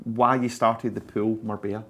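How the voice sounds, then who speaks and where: A man's voice: fairly low pitch, flat delivery, moderate pace, crowd noise. A man hosting an interview show, opening with an upbeat presenter delivery that speaks directly to viewers, then putting questions to his guest, recorded indoors in an office building. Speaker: host.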